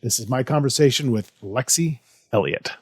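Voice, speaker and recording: A man's voice: medium pitch, moderate pace. A man hosting a casual interview podcast, rambling with many restarts and fillers. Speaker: podcast host.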